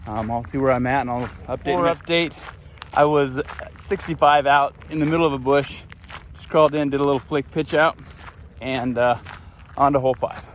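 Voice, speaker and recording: A man vlogging outdoors on a desert course, thinking aloud in a relaxed way as he walks between shots. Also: mid-range voice, fairly quick, slight background noise. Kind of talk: casual walk-and-talk commentary. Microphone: handheld phone and gimbal camera.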